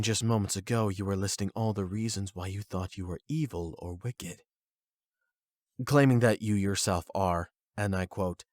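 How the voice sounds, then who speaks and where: A man voicing a calm, erudite therapist in an anime audio roleplay, speaking in a measured, formal, gently probing way. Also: on the low side, no room sound, clean studio sound, lightly acted. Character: calm, erudite male therapist and demi-human expert.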